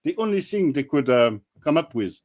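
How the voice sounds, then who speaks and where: Blunt, measured man, firm guest. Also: on the high side, sing-song, darkish tone, dull audio. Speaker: guest researcher. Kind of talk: casual panel discussion.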